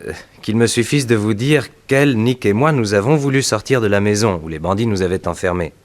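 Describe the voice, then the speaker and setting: An actor playing an earnest, composed detective's assistant, explaining in a measured way in a 1960s French radio serial. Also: male voice, lowish voice, natural voice. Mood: composed, explanatory.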